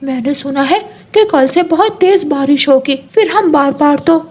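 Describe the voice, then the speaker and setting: A woman voicing a kindly, shrewd male parrot neighbour in an animated children's story. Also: high voice, moderate pace, natural voice. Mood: friendly. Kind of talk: animated Hindi moral story dialogue.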